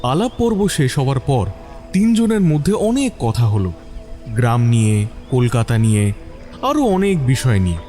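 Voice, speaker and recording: Mellow, expressive male story narrator. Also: medium pitch, unhurried, soft background music. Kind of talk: dramatic scripted story narration.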